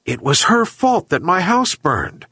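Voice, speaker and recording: Storybook old fisherman, voiced by a man: emotional, quick to flare, high and wildly animated. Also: husky, dry recording, full character voice. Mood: complaining.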